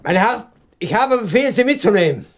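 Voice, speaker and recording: An actor playing a stern officer of the inquisition, curt and commanding, in a German radio drama. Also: male voice, sits high, very animated, moderate pace, very noisy.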